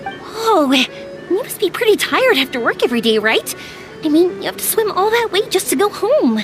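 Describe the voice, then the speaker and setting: Very high, curious youngster. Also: female voice, big pitch swings, normal speed, soft background music. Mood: curious, amazed. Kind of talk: video game voice acting.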